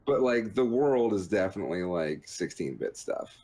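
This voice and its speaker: A man's voice: deep voice, animated, normal speed, warm tone. A man co-hosting a gaming podcast in casual chat, with a jokey, rambling way of talking. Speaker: podcast co-host reviewing video games.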